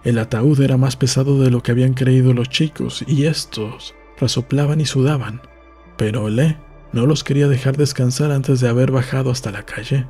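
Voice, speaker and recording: A man reading a novel aloud as an audiobook narrator, in a calm, measured storytelling manner. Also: medium pitch, creaky.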